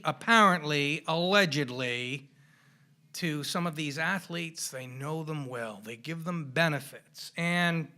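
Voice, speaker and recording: Male sports podcast host, raised pitch with gravelly fry, colloquial and punchy, given to showy flourishes. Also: sharp tone. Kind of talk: boxing podcast commentary.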